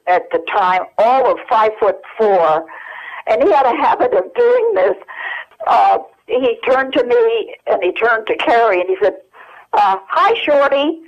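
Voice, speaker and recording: An older woman reminiscing as an interview guest over a telephone line, with many pauses and restarts. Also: fairly high pitch. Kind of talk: casual phone interview.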